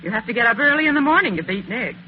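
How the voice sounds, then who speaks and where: An actress playing a loyal, eager detective's assistant, brisk and confident, in 1940s radio style. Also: female voice, on the high side, expressive, dull audio, soft background music, natural voice. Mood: proud, earnest. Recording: thin, boxy 1940s radio recording.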